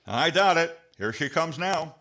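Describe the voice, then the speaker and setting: A man's voice: fairly high pitch, lively intonation, measured pace, pushed and bright, heavily acted. An older man playing an affable announcer and straight man in a 1940s radio comedy. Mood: matter-of-fact.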